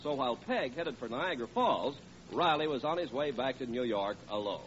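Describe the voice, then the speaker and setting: A man's voice: mid-range voice, expressive, brisk. A man working as a 1940s radio announcer with a polished, emphatic salesman's delivery. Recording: muffled, faintly hissy vintage radio.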